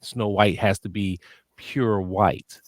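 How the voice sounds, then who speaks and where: A man's voice: on the low side, fairly even intonation, slow pace, dry recording. A man hosting a radio interview, asking questions hesitantly with many fillers and repeated words. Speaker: radio show host, interviewer.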